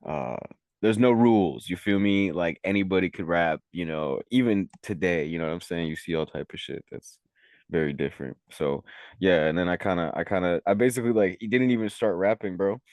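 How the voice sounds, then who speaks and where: Casting: young guy rapper from Venezuela, extremely low voice, loose slangy rambling, dry close sound. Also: fairly quick, a little mellow, no echo. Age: young adult. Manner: laid-back, rambling, heavy on "like" and slang. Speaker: rapper and recording engineer. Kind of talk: casual interview chat.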